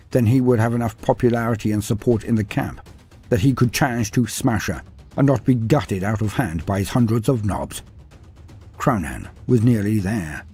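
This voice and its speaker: A man with a husky voice reading a fantasy tale in a dry, wry storytelling manner. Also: medium pitch, dark tone. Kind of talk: scripted fantasy narration.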